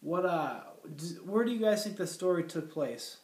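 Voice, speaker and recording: A man's voice: high-pitched, expressive, moderate pace, darkish tone. Young male teacher with a head cold, reads aloud plainly, roomy classroom sound from a distance. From Minnesota. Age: young adult. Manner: plain teacherly reading, many fillers. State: has a cold. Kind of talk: classroom lesson and story read-aloud.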